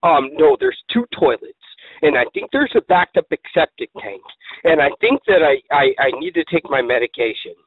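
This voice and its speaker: A man playing an eccentric, muddled, easily excited prank caller who rambles and repeats himself in grandiose words over the phone. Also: a little high, moderate pace, slightly rough, no room sound. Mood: muddled, hesitant. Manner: rambling, repetitive, theatrical, grandiose wording. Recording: tinny lo-fi phone call.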